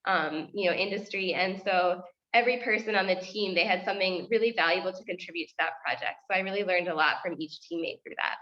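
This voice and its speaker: A woman journalist speaking quickly on a panel over a video call. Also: fairly low pitch, sharp tone, lo-fi sound. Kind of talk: panel discussion answer.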